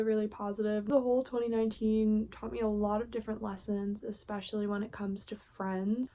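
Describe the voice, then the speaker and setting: Chatty young woman vlogging, mellow tone. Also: medium pitch. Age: 20s. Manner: chatty, fillers like "like". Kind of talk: casual vlog recap.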